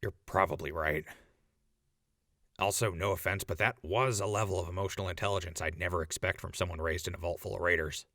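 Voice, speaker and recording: A man's voice: deep voice, steady tone, brisk, sharp tone, no room sound, studio quality. A man playing a guilt-ridden, sardonic wasteland survivor in an audio drama, speaking in a plain, casual way. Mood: wry. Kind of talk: post-apocalyptic audio drama acting.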